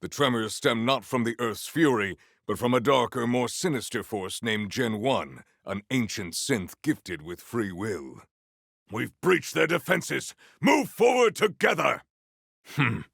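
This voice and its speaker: Game warrior: grandly earnest and formal, slow stately delivery, gravelly edge. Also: male voice, mid-range voice, sing-song, a bit bright, no room sound, clean studio sound, heavily acted. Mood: fierce, shouting. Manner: formal, stately diction with dramatic weight. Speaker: video game voice actor. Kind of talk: scripted game character lines.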